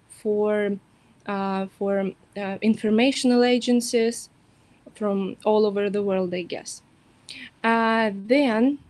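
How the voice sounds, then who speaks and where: A woman with a somewhat high voice walks through study programs on a webinar. She speaks in English, fills her pauses with "uh" and "um" and restarts her sentences as she goes. The sound comes through a video call. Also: darkish tone, no room sound. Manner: hesitant, many fillers and self-corrections. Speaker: university representative.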